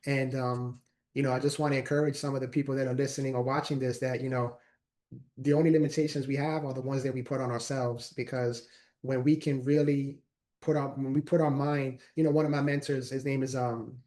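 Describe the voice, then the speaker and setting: A man's voice: mid-range voice, little pitch movement, brisk, a little mellow. A man in his forties, a guest speaker telling his story in an earnest, repetitive way in a casual interview. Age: 40s. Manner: earnest, repeats words while talking. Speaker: guest, motivational speaker and author.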